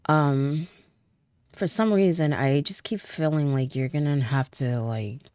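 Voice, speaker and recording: A woman giving a casual tarot reading, chatty, with frequent fillers, trailing pauses and light laughs, and sounding stuffy from a cold. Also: low-pitched, darkish tone, no echo. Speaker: tarot reader.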